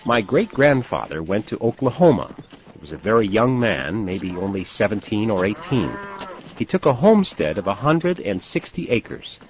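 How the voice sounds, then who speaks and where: An actor playing an easygoing rancher who tells stories about the Old West in a scripted language-course dialogue. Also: male voice, fairly low pitch, very animated, moderate pace, slight background noise. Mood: storytelling. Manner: easygoing, storytelling. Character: friendly older male rancher recounting Old West history. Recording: dull lo-fi course audio.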